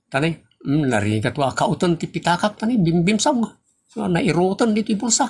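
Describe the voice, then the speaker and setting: A man's voice: high-pitched, expressive, moderate pace, darkish tone, husky, full character voice. A man with an Ilocano Filipino accent acting a teasing, scolding rural father and husband in a comedy audio drama, with an emphatic, preachy way of talking. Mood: teasing, boastful.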